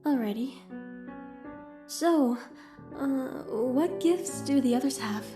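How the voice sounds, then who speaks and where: A woman's voice: high-pitched, slow, music in the background. A woman playing a curious young recruit who narrates her own story in an audio drama. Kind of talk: first-person scripted narration, audio drama.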